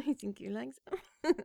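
A woman whispering an intimate roleplay in a soft, breathy voice, with frequent sighs and French endearments mixed into her English. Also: high voice, no echo, clean studio sound.

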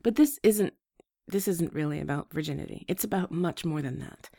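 A woman with a rough voice, hoarse from a flu, hosting a gentle and reassuring advice talk to her listeners. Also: low-pitched, normal speed, dry recording, clean studio sound. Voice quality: rough, slightly congested voice. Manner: gentle, reassuring, restarts phrases. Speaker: advice show host.

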